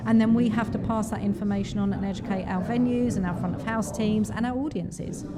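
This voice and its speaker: A woman's voice: medium pitch, fairly even intonation, brisk, very noisy. A woman producer giving earnest, emphatic interview answers in a conversational way. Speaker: theatre associate producer. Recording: busy crowd chatter behind. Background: crowd chatter at an event.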